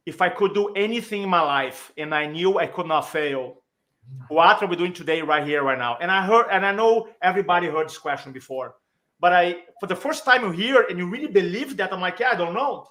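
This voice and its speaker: A man's voice: sits high, moderate pace, pushed and bright. A man from Brazil with a Brazilian accent and Portuguese as his first language, telling personal stories conversationally as a guest speaker and coach.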